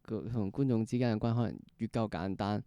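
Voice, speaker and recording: A man's voice: fairly low pitch, steady tone, fast talker, warm tone, smooth, dry recording, lo-fi sound. A man with a Hong Kong Cantonese accent hosting a casual interview, hesitating and repeating phrases, with English words mixed in. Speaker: podcast host.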